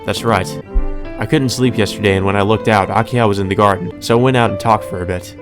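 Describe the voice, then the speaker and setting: A man voicing a gloomy, anxious male high school student with a hesitant manner, in a visual novel read aloud. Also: steady tone, fast, lightly acted. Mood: uneasy. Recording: music under the voice.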